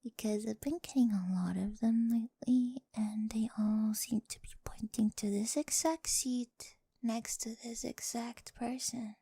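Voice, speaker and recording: A young woman playing a quirky, awkward, talkative high school girl with a crush in an ASMR roleplay, rambling eagerly and often slipping into breathy whispers. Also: fairly even intonation, unhurried, mellow, dry recording. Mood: hushed, conspiratorial. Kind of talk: scripted ASMR roleplay, addressed to the listener. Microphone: close, dry studio-style microphone.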